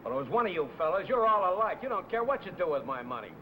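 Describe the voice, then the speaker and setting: An American actor playing a mean, stingy old garage boss who grumbles and accuses, in a 1950 noir film. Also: male voice, high voice, big pitch swings, quick pace, sharp tone, heavily acted. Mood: irritated, accusing. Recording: noisy vintage film soundtrack.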